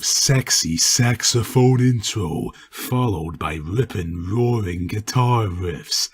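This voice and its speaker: A man narrating a dramatic reading of a story. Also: fairly low pitch.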